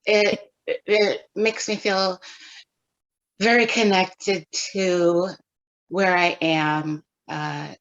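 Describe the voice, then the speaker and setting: A middle-aged woman from California, a photographer answering interview questions in a thoughtful, measured way with pauses. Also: fairly low pitch, fairly even intonation, fairly bright tone, dull audio. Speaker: guest photographer.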